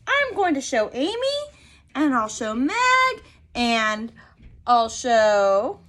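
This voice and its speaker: A woman voicing an excited, chattering little girl from a picture book, in an eager singsong. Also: a little high, slow, full character voice.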